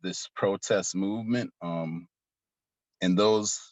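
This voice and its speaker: A man, a community organizer, speaking casually on an online panel over a video call, halting with many ums. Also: low-pitched, steady tone, dry recording, highs cut off.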